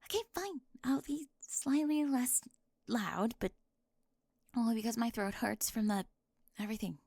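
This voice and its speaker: Woman acting a high-voiced, flustered bossy teenage girl. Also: darkish tone, dry recording. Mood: exasperated, animated. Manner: halting, often dropping into breathy whispers. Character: talkative, bossy, flustered high school girl who plans everything. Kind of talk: ASMR roleplay acting.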